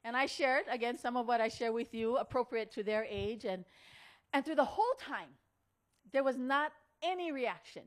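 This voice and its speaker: A middle-aged or older woman, giving a motivational storytelling speech in an earnest, deliberate way with dramatic pauses. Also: a little high. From Hawaii. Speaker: speaker at a women's conference.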